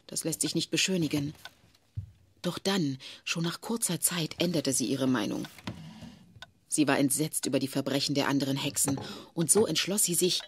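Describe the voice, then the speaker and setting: Casting: grave, kindly aunt for a children's radio play, low-pitched, noisy tape sound. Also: female voice. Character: solemn, kindly aunt revealing magical family secrets. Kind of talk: German children's audio drama acting.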